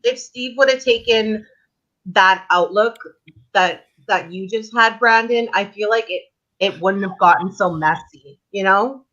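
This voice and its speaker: Bright-voiced woman host on dull audio. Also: medium pitch, a little slow. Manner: agreeable, chiming in. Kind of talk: casual chat. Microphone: video call. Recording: dull lo-fi video call audio.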